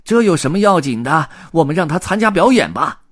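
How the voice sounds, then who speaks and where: A man voicing excited, exclaiming circus folk who marvel at a novelty in a children's story. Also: fairly high pitch, fairly quick, voice pushed up.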